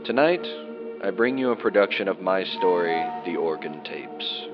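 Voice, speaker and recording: Very low-voiced male podcast host over music. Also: lively intonation, normal speed, dull audio. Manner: formal, courteous address to listeners. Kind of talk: scripted show introduction.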